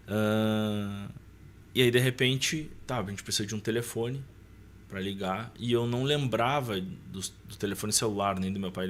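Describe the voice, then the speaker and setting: A man's voice: medium pitch, a bit bright, a little hiss or hum. A man with a southern Brazilian accent casually telling a personal story as a podcast guest, acting out dialogue as he goes.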